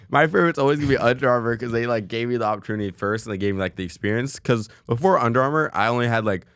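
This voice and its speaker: A young man co-hosting a casual chat, talking firmly and assertively in a deep voice. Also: fairly bright tone, clear, resonant tone, dry recording, clean studio sound. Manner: fast, firm, assertive talker. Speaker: podcast co-host.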